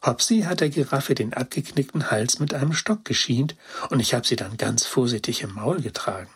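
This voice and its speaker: An earnest young dog girl from a children's storybook, voiced by a man, speaks with childlike excitement. The voice is very breathy and husky, with lively, swinging intonation. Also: medium pitch, moderate pace. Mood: earnest, excited. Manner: childlike, excited storytelling with naive colloquial words. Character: Gretine, young female toy-rescuing dog, earnest and compassionate.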